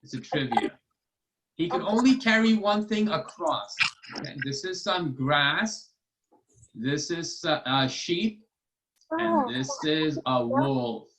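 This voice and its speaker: A man's voice: on the high side, very animated, slow, crowd noise, rough recording. A man hosting a children's storytime and trivia over a video call, explaining step by step in a patient, encouraging way. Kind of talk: casual teaching to children.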